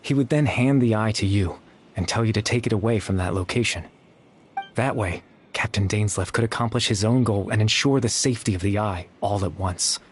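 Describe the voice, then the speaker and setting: A man voicing a young man who exists only in memory, calm and apologetic, speaking in a measured, quiet, explanatory way. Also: lowish voice, slight background noise, lightly acted. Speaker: game character. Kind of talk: video game voice acting.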